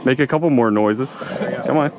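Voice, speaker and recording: A man's voice: mid-range voice, moderate pace. A man fishing from a small boat offshore, chatting casually and exclaiming at the catch, recorded on an action camera. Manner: excitable, exclaims often. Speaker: fishing vlogger. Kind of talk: casual chat while fishing. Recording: outdoor wind and engine noise.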